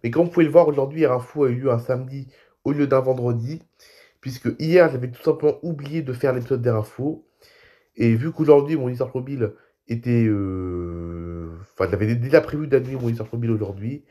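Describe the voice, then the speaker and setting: A man with a cold, speaking softly as the host of an online aviation news show, reading articles and adding casual asides. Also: mid-range voice, brisk. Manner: reads articles, then adds loose spoken asides.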